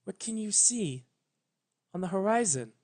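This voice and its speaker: A man voicing an ancient regal female pony princess, tender, proud and sorrowful, speaking solemnly and haltingly. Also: sits high, lively intonation, a little mellow, pitched above the performer's own voice. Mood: tender, tearful.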